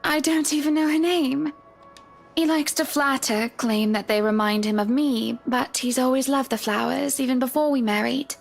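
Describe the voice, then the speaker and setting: An actress playing a genteel, wistful married woman in a visual novel game, speaking politely and in measured phrases with a creak in her voice. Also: high-pitched, steady tone, faint music behind.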